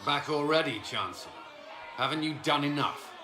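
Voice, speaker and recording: A man's voice: on the high side, moderate pace, a crowd in the background, lightly acted. An actor playing a firm, exasperated male military commander in a fantasy video game, with a curt, dry, commanding way of talking. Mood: stern, commanding. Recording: roomy echo, clear background noise.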